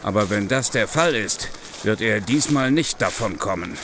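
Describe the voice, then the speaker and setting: Serious, determined police investigator. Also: male voice, on the low side, expressive, a bit bright, a little hiss or hum. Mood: grim, determined. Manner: serious, measured, insistent. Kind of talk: German horror audio drama acting.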